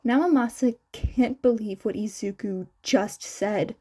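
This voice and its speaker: Slow, high-voiced woman narrating a story. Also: dark tone, husky. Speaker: narrator, podfic reader. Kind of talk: scripted narration of fan fiction.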